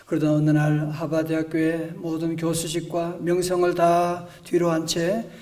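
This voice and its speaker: A male pastor preaching a storytelling sermon in a church, moving between hushed and emphatic delivery. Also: fairly high pitch, monotone, normal speed, distant mic. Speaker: senior pastor. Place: church sanctuary.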